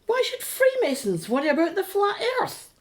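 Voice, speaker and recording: A practical, sceptical middle-aged British wife in a radio drama. Her voice is high and very animated, full of exasperation and sarcasm. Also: moderate pace, heavily acted. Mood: incredulous, exasperated. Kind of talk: radio play acting.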